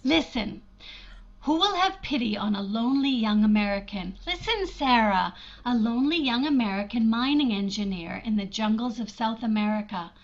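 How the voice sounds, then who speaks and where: A shy, wistful woman of old-time radio drama, played by an actress, speaking in a high voice. She is lonely and self-deprecating, with a hesitant, earnest way of talking. The recording is slightly dull. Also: lively intonation, moderate pace. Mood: earnest, eager. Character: shy, lonely, wistful unmarried older sister in Ohio.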